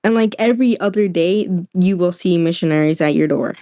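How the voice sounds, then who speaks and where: A woman's voice: lowish voice, a little fast, warm tone, no room sound, studio quality. A young woman from Utah whose first language is Spanish, chatting casually on a podcast and filling her speech with "like". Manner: fills speech with "like". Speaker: podcast co-host. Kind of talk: casual chat.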